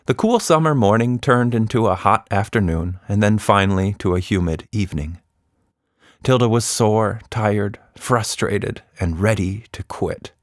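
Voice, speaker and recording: A man narrating a scripted children's story in an expressive, dramatic storytelling manner. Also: lowish voice, a little slow, no room sound, studio quality.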